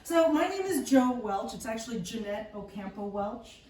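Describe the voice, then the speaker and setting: A woman's voice: fairly high pitch, lively intonation, moderate pace, darkish tone. A woman speaking enthusiastically to a live group as a presenter, laughing often. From United States. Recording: roomy, distant mic, some noise.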